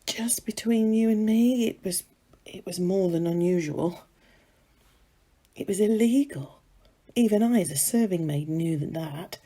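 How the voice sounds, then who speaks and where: A woman reading a dramatic monologue as a gossipy serving maid of ancient times, confiding and conversational, with dramatic pauses. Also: medium pitch, dark tone, natural voice.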